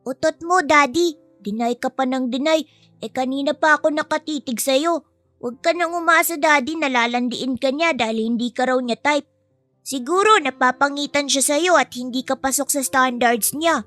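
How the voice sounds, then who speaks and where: A woman's voice: high-pitched, normal speed, fairly bright tone, no echo, faint music behind, voice pushed up. A woman voicing a cheeky, sweet, outspoken young child in an audio story, with a chirpy, teasing way of talking. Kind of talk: acted audio story dialogue.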